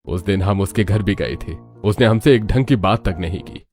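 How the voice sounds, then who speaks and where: A man's voice: low-pitched, normal speed, a little mellow, soft background music, natural voice. A man voicing a troubled middle-aged father in a family cartoon story. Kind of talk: Hindi cartoon story acting.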